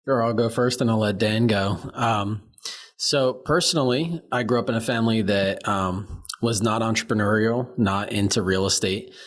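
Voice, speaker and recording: A man's voice: fairly low pitch, moderate pace. A man as an interview guest, telling his background in an easygoing way full of fillers. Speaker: guest, real estate co-founder. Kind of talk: conversational interview answers.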